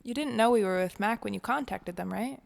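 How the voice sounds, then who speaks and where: A woman's voice: medium pitch, a little fast, creaky. An actress playing a guarded, weary young woman in an audio drama, speaking hesitantly. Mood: uneasy, hesitant.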